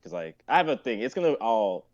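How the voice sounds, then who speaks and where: A man's voice: mid-range voice, very animated, fairly quick, over a noisy crowd. A man in his twenties chatting casually with fellow writers on a stream. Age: 20s. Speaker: writer and reader in a workshop stream. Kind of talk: casual chat. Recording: dull lo-fi mic, keyboard typing.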